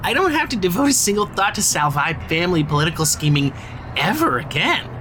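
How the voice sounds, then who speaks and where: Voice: mid-range voice, sing-song, fairly quick, sharp tone, slight background noise. An actor playing a jaded, bossy, sardonic insider of a crime family in a modern audio drama. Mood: giddy, exasperated. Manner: sardonic, sweary, commanding.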